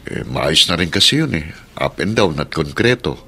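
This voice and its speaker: A man's voice: a bass voice, big pitch swings, moderate pace, bright, forceful tone, husky, exaggerated voice. A Filipino man playing a practical, agreeable husband in a radio drama. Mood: practical, pleased.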